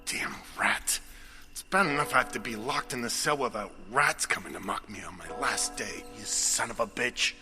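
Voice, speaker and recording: An actor playing an anxious, bitter doctor condemned to death, muttering tensely and irritably in a prison drama. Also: male voice, mid-range voice, moderate pace, loud music behind the voice, lightly acted. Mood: angry. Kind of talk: dramatic film acting.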